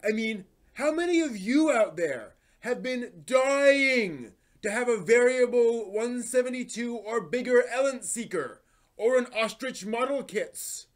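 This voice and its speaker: High-pitched, theatrically indignant fanboy played by a man. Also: animated. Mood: aggrieved. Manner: theatrical, emphatic, drawn-out words. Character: indignant, melodramatically aggrieved anime toy fan. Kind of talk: mock dramatic reading of a forum post.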